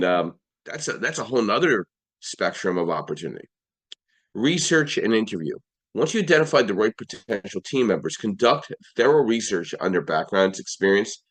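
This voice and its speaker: A man hosting a live audio-room podcast, his voice a little hoarse, running through sponsors and lists in an upbeat way with frequent restarts. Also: on the low side. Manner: upbeat, list-like delivery with restarts. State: slightly hoarse. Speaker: podcast host.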